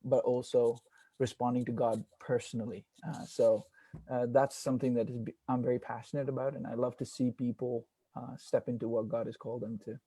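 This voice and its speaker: A man from India speaks as a pastor on a video call, earnest and reflective with many soft fillers. His voice is mellow and dark, with little rise and fall in pitch. The sound is dry, with no room echo. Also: little pitch movement, brisk, husky. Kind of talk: panel introduction on a video call.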